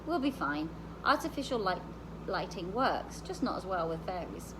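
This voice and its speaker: A woman reading the part of a calm, practical, knowledgeable woman explaining things in a storybook. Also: mid-range voice, moderate pace, background noise, natural voice.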